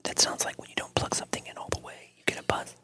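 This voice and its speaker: A middle-aged man whispering in casual podcast banter, with a hushed, breathy delivery. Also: fast talker. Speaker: podcast host.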